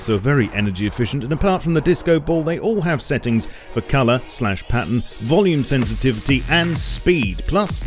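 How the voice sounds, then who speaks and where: Wry man narrating game news over music. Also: medium pitch. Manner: dry, wry asides. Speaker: video host showing new game items. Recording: music under the voice.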